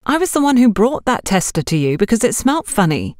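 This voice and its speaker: An earnest, anxious young salesgirl, played by a woman narrator. The voice is raised in pitch and lively, with a defensive honesty suited to an audiobook. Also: fairly quick, no room sound, clean studio sound. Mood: shocked, defensive.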